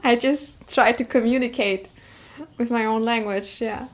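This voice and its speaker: A woman from Germany whose first language is Vietnamese, recalling a childhood memory in a soft, reflective way. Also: on the high side, steady tone, unhurried. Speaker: interviewee. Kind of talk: personal storytelling.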